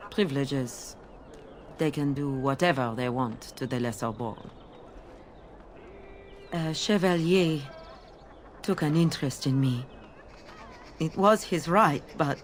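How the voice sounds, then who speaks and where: A genteel woman selling flowers in a fantasy game. She has a low voice, a French accent and a measured, formal, coaxing way of talking, with faint music behind her. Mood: guarded, bitter. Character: genteel Orlesian flower and scented oil seller, woman. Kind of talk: video game voice acting.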